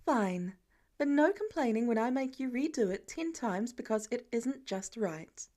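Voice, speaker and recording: A woman's voice: fairly high pitch, lively intonation, unhurried, a little mellow. A woman voicing an exasperated, teasing wife in a story, with a wry, firm manner.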